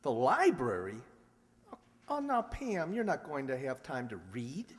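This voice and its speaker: Woman playing a low-voiced, wry radio-drama spouse. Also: huge pitch swings, measured pace, full character voice. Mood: amused. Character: affectionate, teasing, skeptical spouse of an amateur sleuth. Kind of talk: 1940s radio acting. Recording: echoey hall.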